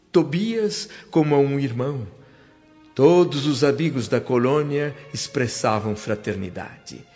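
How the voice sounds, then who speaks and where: A man playing a humble, reflective spirit who narrates a radio drama in earnest, measured phrases with a Brazilian accent. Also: on the high side, a little mellow. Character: reflective, humble male spirit recounting his awakening. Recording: dull audio with music behind.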